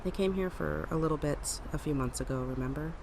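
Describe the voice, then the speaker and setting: A woman playing a kindly, playful family friend in an audio drama, talking gently as if to a child, with a creaky voice. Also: deep voice, fairly quick, heavy background noise. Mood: gentle. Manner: gentle, simple talk as to a child.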